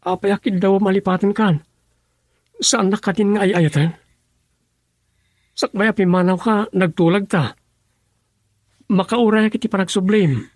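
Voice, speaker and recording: A man's voice: high voice, fairly even intonation, unhurried, pauses often, darkish tone, dry recording, clean studio sound. A man acting a lovelorn, earnest young sweetheart in a radio drama. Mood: hurt, pleading.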